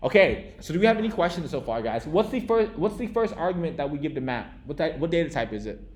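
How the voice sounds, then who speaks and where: Casual, animated male coding teacher. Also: fairly high pitch, fast. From United States. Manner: casual, animated teacher, many restarts and "okay?" checks. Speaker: coding instructor. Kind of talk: live classroom coding lesson.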